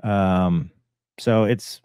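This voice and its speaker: Casual, low-voiced man explaining, dry close sound. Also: extremely slow, a little mellow, smooth, no echo. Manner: conversational, explanatory, uses pilot jargon. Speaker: host, former fighter and airline pilot commentator. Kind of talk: casual explanatory reaction commentary. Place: small home room, on a video call.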